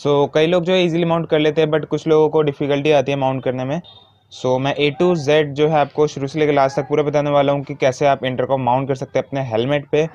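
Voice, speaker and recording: A man's voice: on the high side, little pitch movement, a little fast, a little mellow. A man giving an informal hands-on tutorial step by step, mixing in English words. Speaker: motovlogger giving a how-to demonstration. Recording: dull, muffled lo-fi sound.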